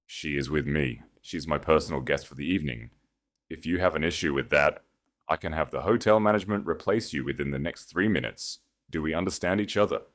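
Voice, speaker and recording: A man plays a silver-haired male tycoon of sixty in a romance audiobook. He sounds deep and gravelly, ruthless and used to being obeyed. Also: brisk, a bit bright. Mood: cold command.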